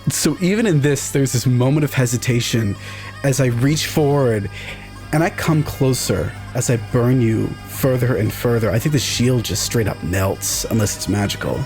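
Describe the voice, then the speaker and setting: A man's voice: medium pitch, moderate pace, a little mellow, faint music behind. A man taking part in a tabletop game, casually narrating what his character does.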